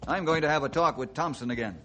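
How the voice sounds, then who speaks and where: An actor playing a firm, authoritative forest ranger leader in a 1950s radio adventure drama. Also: male voice, a little high, fast, lightly acted. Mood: brisk, commanding. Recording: noisy, clicking 1950s radio recording.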